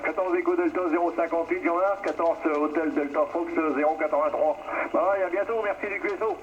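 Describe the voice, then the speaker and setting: A man's voice: on the high side, steady tone, quick pace. A man chatting casually over amateur radio, in a muffled, noisy transmission. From northern France. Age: 60s.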